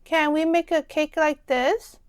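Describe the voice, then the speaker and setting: Woman voicing an eager, high little girl pig. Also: steady tone, measured pace. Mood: eager, asking. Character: excited young girl pig, sweet and eager. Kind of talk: children's storybook character voice.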